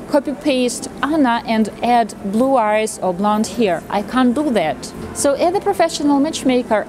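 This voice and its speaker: Woman, 30s, higher-pitched, animated explainer to camera, outdoor street with clear background noise. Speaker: matchmaker presenting a video channel. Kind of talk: talking to camera, explanatory with anecdotes. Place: outdoors on a busy pedestrian city street.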